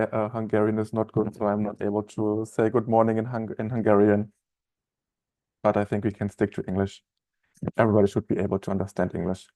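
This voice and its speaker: A man in his thirties with a German accent, chatting as a trainer in a live online software webinar, with many fillers and restarts. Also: lowish voice, no room sound, poor audio. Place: office room, indoors.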